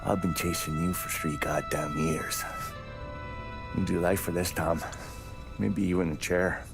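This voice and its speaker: A gravelly, hard-bitten detective. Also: male voice, low voice, steady tone, unhurried, over loud music. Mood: grim. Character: weary, hard-bitten male police detective. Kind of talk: video game cutscene acting.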